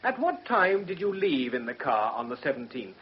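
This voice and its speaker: An actor playing a shrewd, controlled defence barrister in a radio drama, with a Received Pronunciation English accent and precise, formal questioning. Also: male voice, medium pitch, sing-song, normal speed, natural voice. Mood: brisk, probing. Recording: muffled, dull radio drama recording.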